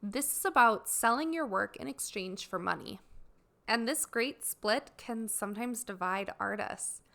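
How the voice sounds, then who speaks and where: Woman podcast host, raspy from a cold. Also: medium pitch, a little slow. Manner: earnest, explanatory, steady. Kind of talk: solo podcast talk to artists.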